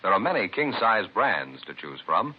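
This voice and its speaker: A man's voice: very animated, normal speed, slightly rough. Swooping, emphatic male radio announcer. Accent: American. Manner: emphatic sales pitch. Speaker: commercial announcer. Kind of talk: 1950s radio advertising read. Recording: muffled old radio recording, faint noise.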